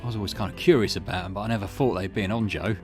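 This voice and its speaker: A man's voice: on the low side, very fast, soft background music, pitched below the performer's own voice, lightly acted. A man voicing a mild, dopey young boyfriend in a video game, sheepish and easygoing. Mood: eager.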